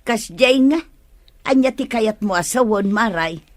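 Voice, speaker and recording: A woman's voice: medium pitch, lively intonation, measured pace, dry recording, natural voice. A woman with an Ilocano accent playing a nosy, sympathetic village mother in a radio drama, questioning and coaxing. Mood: prying.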